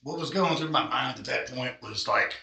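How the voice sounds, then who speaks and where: A man who is a wrestler and actor, chatting as a guest in a casual interview, rambling with many restarts and a loud voice. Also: mid-range voice, normal speed. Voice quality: gravelly, loud voice. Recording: echoey room.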